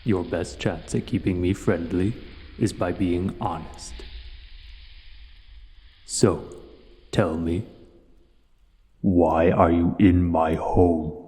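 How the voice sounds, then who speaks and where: A man voicing a huge, imperious, learned dragon in an audio roleplay, with a deep, dark voice and slow, formal, pompous delivery. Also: steady tone. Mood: menacing, commanding. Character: huge male dragon, imperious, menacing, learned, coolly curious. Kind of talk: scripted audio roleplay voice acting. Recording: long cavernous reverb.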